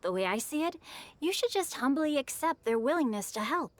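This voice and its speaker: A woman's voice: high voice, big pitch swings, brisk, a little hiss or hum. A woman voicing a humble, sweet and gracious young maid in an anime video game.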